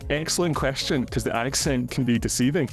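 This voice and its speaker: A man in his forties with a Glaswegian Scottish accent, an education professor explaining his career in a measured, articulate way as a podcast guest. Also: mid-range voice, soft background music. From Scotland. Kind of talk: podcast interview, reflective explanation.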